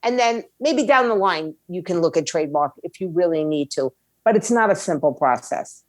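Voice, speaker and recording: A woman's voice: fairly low pitch, expressive, moderate pace, dry recording. A woman speaking as a guest expert in an interview, explaining things in a plain-spoken, emphatic, conversational way. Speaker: guest, music attorney and indie label owner.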